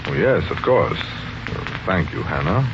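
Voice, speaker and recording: Deep-voiced, brooding scheming widower. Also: male voice, sing-song, a little slow, noisy background. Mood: uneasy, evasive. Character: scheming, guilt-ridden widower, master of the house. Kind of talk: 1940s radio mystery acting, with brooding first-person narration. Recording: muffled 1940s radio recording with music.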